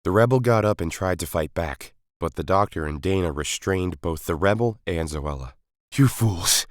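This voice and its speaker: A man's voice: sits low, moderate pace, no room sound, studio quality. A man reading a scripted adventure story as an audiobook narrator.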